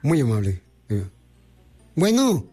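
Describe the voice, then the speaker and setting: A man's voice: a little high, wildly swooping pitch, takes it slowly, warm tone, soft background music. A man playing a rambling, teasing prank caller in a comic phone sketch.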